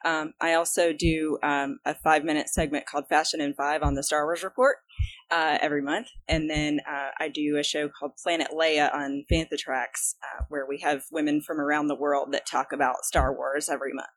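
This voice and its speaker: A woman podcast co-host introducing herself on a fan panel, steady with many "uh"s. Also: deep voice, normal speed, dry recording. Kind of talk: convention panel chat.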